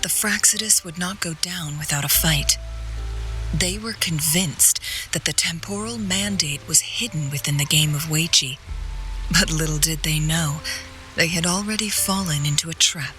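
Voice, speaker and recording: Game strategist, composed and courteous, lowish voice, crisp bright edge, measured formal delivery. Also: female voice, faint music behind. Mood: composed, explanatory. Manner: poised, measured, formal storytelling. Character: composed, courteous female strategist and former disciple, gently teasing. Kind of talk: video game story dialogue.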